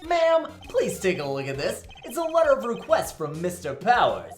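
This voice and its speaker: A woman's voice: medium pitch, wildly swooping pitch, moderate pace, roomy sound, soft background music. A woman playing a sardonic young male defense lawyer in a video game fandub, full of flippant, playful asides. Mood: wry.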